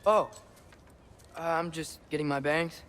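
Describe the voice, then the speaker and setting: An actor playing an earnest, hesitant teenage boy apprentice in a video game, speaking in a halting, understated way. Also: fairly high pitch, fairly even intonation, a bit bright, lightly acted. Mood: hesitant, determined. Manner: halting, understated, a little unsure. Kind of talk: naturalistic video game acting. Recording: music behind the voice.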